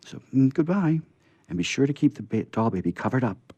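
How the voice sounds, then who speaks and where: A man's voice: medium pitch, very animated, a little fast, dark tone, breathy edge, no echo, pitched above the performer's own voice. A man voicing a kind, chatty little girl in a storybook reading, earnest and caring. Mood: helpful, chatty. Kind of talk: storybook character voice in read-aloud.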